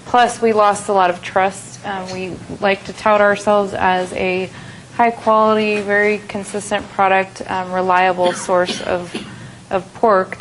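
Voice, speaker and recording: An American woman gives a businesslike presentation at a trade expo. Her delivery stays quite flat in pitch and she pauses often on fillers. Faint background noise sits behind her. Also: poor audio. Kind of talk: conference presentation. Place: conference hall at a trade expo.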